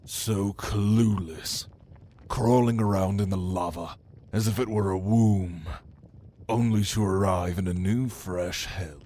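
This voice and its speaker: A man voicing a prideful, menacing demon in an ASMR roleplay, speaking with deliberate mockery in low murmurs that dip toward a whisper. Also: fairly even intonation, dark tone. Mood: menacing, taunting. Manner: slow, deliberate, menacing, mocking. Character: sinister, prideful male demon, a prince's cunning right hand. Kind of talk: ASMR roleplay monologue to the listener.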